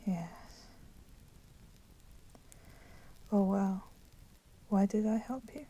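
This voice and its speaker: Woman as a slow, whispering female vampire. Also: medium pitch, natural voice. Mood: cold, accusing. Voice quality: soft, breathy whispering. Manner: slow, deliberate, formal, menacing phrasing. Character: proud, resentful female vampire captor, coolly teasing. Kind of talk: ASMR roleplay, whispered. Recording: constant crackling fire noise behind voice. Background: crackling fire.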